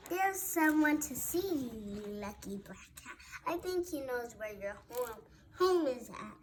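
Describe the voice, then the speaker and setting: Slow, high-voiced female storybook reader. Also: expressive. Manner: halting, often stumbling over and repeating words. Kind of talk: read-aloud of a rhyming children's Christmas story. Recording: noticeable background noise.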